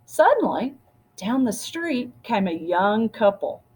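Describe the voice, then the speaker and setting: A woman with a husky voice reading a storybook aloud expressively, as if to children. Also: fairly high pitch, takes it slowly. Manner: expressive, acts out dialogue. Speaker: story reader.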